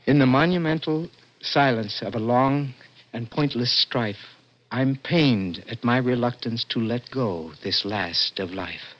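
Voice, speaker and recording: A man's voice: mid-range voice, lightly acted. A man playing a desperate young imprisoned poet in earnest, dramatic 1960s radio suspense style. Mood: solemn. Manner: intense, earnest, dramatic, halting when startled. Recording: dull old radio recording, prominent music.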